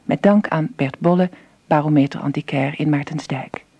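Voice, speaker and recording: Mellow, dry female radio announcer. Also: sits low, fairly even intonation, moderate pace, husky, no echo, highs cut off. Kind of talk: scripted radio credits reading.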